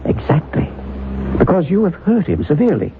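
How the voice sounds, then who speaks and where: An actor playing a skeptical male doctor who questions his patient in a measured, probing way in an old radio drama. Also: a little high, big pitch swings. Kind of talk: 1970s radio drama acting. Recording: tinny lo-fi old radio broadcast with hiss.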